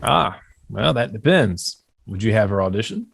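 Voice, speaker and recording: A man's voice: lowish voice, sing-song, normal speed, creaky, a bit bright, breathy edge, full character voice. A man acting a genial, eccentric, well-to-do father in a period comedy read, chatty and genteel.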